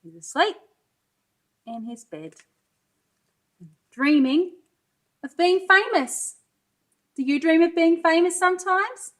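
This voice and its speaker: A woman reading a children's storybook aloud as a pre-school teacher, expressive and sing-song, pausing to ask her young listeners questions. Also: extremely high pitch. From Wales.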